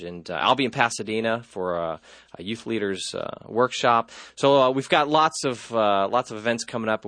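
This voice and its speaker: A man's voice: lowish voice, dry recording. A man filling in as host of a call-in radio show, talking casually with lots of fillers. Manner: relaxed, lots of uh fillers and restarts. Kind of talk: live call-in radio talk. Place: radio studio. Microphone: studio microphone.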